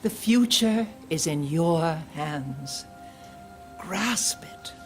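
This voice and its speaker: A stern, devout matron who lectures her charges in a slow, solemn, preaching cadence. Her words come measured and unhurried, with music under the scene. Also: sits low, lively intonation, full character voice. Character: stern pious female reeducation matron. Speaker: reeducation center aunt addressing handmaids. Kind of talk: audio drama acting.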